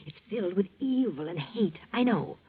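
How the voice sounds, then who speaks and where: An actress playing a fearful, secretive woman giving hushed, ominous warnings in a 1940s radio thriller, on a tinny old recording. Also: mid-range voice, animated, normal speed, close to an everyday voice. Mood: hushed dread. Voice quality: very breathy, whispery.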